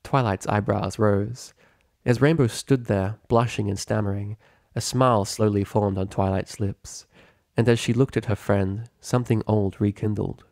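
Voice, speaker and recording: A man's voice: fairly low pitch, fairly even intonation, normal speed, gravelly, a little mellow, no room sound, clean studio sound. A man reading a story aloud as narrator in a scripted narration style. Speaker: narrator reading fan fiction.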